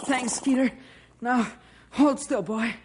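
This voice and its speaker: A high-voiced ranch boy. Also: slow. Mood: strained. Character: young boy Paul, hurt ranch kid. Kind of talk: 1950s radio drama acting. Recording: old radio recording with faint hiss.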